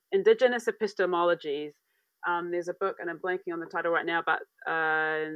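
A woman in her thirties from New Zealand giving a conference talk over a video call from a room at home, relaxed and searching for words with many fillers. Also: on the low side, no room sound. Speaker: academic speaker. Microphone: earphone mic on video call. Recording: dry, dull video-call audio.

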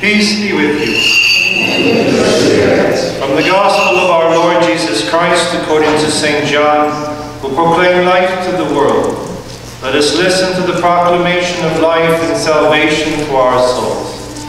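Slow, measured priest in an echoey church. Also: male voice, fairly high pitch, noisy background. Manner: slow, measured chanting delivery. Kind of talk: liturgical gospel reading. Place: large church sanctuary. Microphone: far room microphone. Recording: echoey church recorded from far off.

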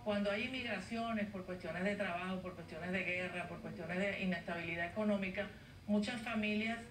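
A woman guest on a TV news interview, arguing her case in an earnest, measured way over a video call. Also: medium pitch, a bit bright. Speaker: guest advocate. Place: home studio via video link. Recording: roomy, distant, faint noise, played off a TV.

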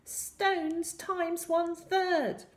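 A menacing little storybook villain, as a woman reader puts him on. The voice is high and squeaky, pitched well up. He is sly and demanding, with a cackling edge. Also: level tone, very slow, heavily acted. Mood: casting spells. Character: strange little old-tale villain man, menacing, sly. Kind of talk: children's storybook reading.

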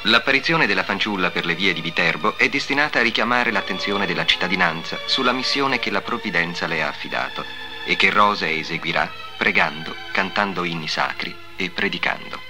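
A man's voice: sits low, brisk, music in the background. A man giving a formal, solemn scripted narration in the style of the 1950s.

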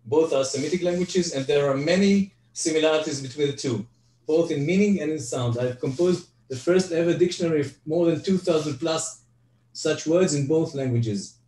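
A man's voice: on the high side, warm tone. Older man teaching on a video call. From Israel. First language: Hebrew. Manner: halting, frequent restarts and fillers. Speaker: guest presenter. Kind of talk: online Excel lecture. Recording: echoey, distant video call audio.

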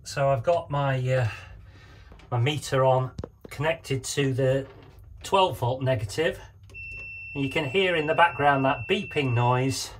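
A man in his fifties filming himself in a small boat cabin on a phone, explaining a wiring job in a relaxed, hesitant way with many fillers. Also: medium pitch, unhurried, long pauses, a little hiss or hum. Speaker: sailing vlogger. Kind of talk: casual how-to vlog. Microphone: handheld phone camera.

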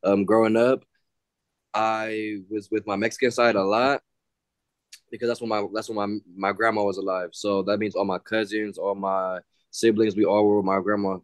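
A young man from the San Francisco Bay Area speaks up in a class held over a video call. His voice sits low and sounds dry and close. He talks in a blunt, slangy, animated way. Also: normal speed, no room sound. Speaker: student. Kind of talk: casual class discussion.

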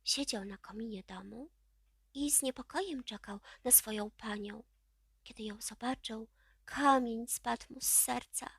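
A woman reads a children's story expressively. Her voice is fairly high and she takes her time, recorded dry and close with hardly any room sound. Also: measured pace, vocal fry, fairly bright tone, slightly rough, dry recording. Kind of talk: expressive children's audiobook narration.

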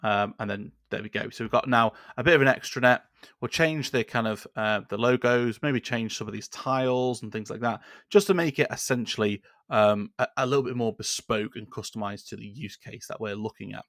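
A man in his thirties hosting a screen-share software tutorial, talking in a relaxed, conversational way with frequent fillers from a desk in a small indoor room. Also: mid-range voice, a little fast, dry recording, clean studio sound. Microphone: studio microphone on a boom arm.

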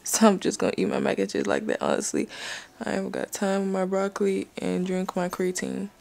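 A woman's voice: mid-range voice, completely flat delivery, brisk, vocal fry, a little mellow. A young woman chatting casually and informally to camera in a slangy vlog style. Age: young adult. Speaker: vlogger.

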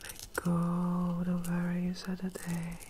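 A woman doing ASMR in a breathy whisper, soothing and repeating short phrases softly. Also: on the low side, completely flat delivery, takes it slowly, a little hiss or hum. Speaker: ASMR host. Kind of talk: ASMR relaxation.

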